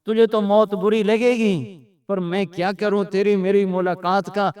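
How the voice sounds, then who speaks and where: A man's voice: high voice, flat delivery, darkish tone, clean tone. A man with a Pakistani accent preaching an Islamic sermon with Arabic recitation, emphatic and repeating phrases for effect. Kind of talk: impassioned Urdu religious sermon with Arabic recitation.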